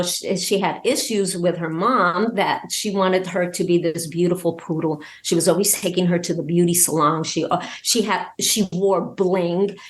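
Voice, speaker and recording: A woman with a slightly low voice talks as a guest in a casual interview. She explains at a very unhurried pace, feeling her way through phrases. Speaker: guest, voice actor and scriptwriter.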